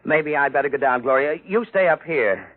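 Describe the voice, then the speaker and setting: A man's voice: on the high side, expressive, normal speed, lightly acted. An actor playing an anxious, indignant ordinary man in an old radio drama, earnest and tense. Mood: uneasy. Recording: tinny old radio broadcast.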